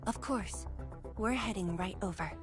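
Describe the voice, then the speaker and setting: Woman doing earnest dutiful young game companion, mid pitch, music playing behind. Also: unhurried, lightly acted. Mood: earnest, serious. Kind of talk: scripted video game dialogue. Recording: background music.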